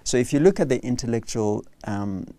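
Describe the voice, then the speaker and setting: A man's voice: mid-range voice, animated, a little fast, dark tone, no echo. A man hosting an interview, thinking aloud and often restarting his sentences. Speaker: interview host. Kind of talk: interview conversation.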